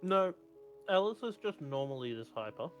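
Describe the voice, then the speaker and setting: A relaxed man teasing and chatting casually while playing a game on a stream. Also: fairly high pitch, moderate pace, lo-fi sound, soft background music. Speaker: streaming co-player. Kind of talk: casual gaming stream chat.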